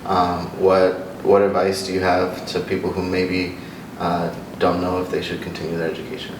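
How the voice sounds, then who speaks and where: A man's voice: low voice, completely flat delivery, normal speed. A man interviewing a guest, asking questions in a hesitant way with many fillers. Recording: distant, roomy, noisy.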